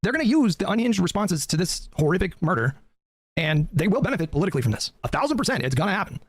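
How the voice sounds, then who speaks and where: A man delivers political commentary at a breakneck pace in a fairly high voice. His voice is going hoarse from a long day of talking, and he fills gaps with ums and uhs. The recording sounds dry and close. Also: sing-song, no room sound. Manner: rapid, earnest, many fillers. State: losing his voice.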